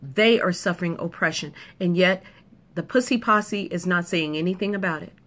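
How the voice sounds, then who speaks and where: A woman's voice: on the low side, normal speed, a bit bright. A woman delivering an emphatic, punchy opinion monologue to camera, repeating points for effect. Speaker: video commentator.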